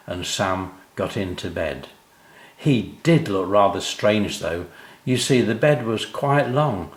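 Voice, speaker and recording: An older man tells a children's story at an unhurried pace, with very varied intonation. He addresses his young listeners directly, and the recording has a roomy echo. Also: on the low side. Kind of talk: expressive children's story narration.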